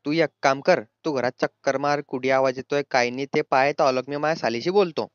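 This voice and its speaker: Man voicing cartoon brother-in-law, lecherous, brash, gruff teasing, rural Ahirani accent, dry lo-fi recording. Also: mid-range voice, a little fast, no room sound, lightly acted. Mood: impatient. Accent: Ahirani, rural north Maharashtra. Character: lecherous, brash brother-in-law visiting his wife's family. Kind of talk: crude comedy cartoon dialogue. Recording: slightly dull, lo-fi dry voice track.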